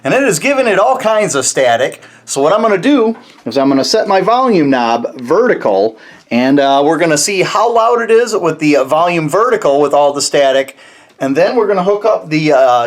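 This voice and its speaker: A man's voice: on the high side, very animated, normal speed. A man hosting a DIY build video, talking informally and folksily through a casual hands-on demonstration. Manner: informal, folksy, mild slang. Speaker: host of a DIY truck build channel.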